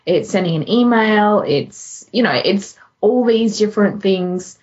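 Mid-pitched woman podcaster, slightly lo-fi. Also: a little slow, darkish tone. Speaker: podcast host. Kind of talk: casual chat.

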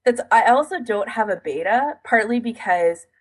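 A woman's voice: fairly high pitch, animated, moderate pace, husky, poor audio. A woman co-hosting a podcast in casual chat, rambling along with lots of "like" and self-interruption. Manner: rambling, filler-heavy delivery with frequent "like". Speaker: podcast co-host. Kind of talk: casual chat about audio editing.

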